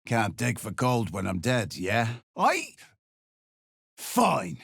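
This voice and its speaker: An actor playing a hard-bitten, threatening duergar dwarf in a video game, gravelly and curt, with coarse slang. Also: male voice, mid-range voice, huge pitch swings, slow pace, a bit bright, no echo, clean studio sound, heavily acted. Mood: grim, surly. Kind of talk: video game character lines.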